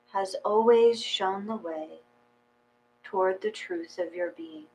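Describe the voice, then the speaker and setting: A woman reading a guided meditation aloud in a slow, calm, measured way with long pauses. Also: on the low side, poor audio. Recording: faint mains hum.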